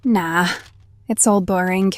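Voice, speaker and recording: A woman's voice: on the low side, slow, dry recording. A woman playing a protective, wistful half-banshee, half-necromancer outcast who speaks confidingly in an audio drama. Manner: calls the younger one honey, confiding.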